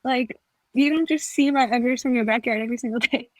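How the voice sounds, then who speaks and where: A woman's voice: on the high side, fast, no echo, low-quality recording. A young woman co-hosting a podcast, chatting casually with lots of fillers and acting out remembered conversations. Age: young adult. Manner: chatty, filler-heavy, acts out quoted exchanges. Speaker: podcast co-host. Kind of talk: casual chat.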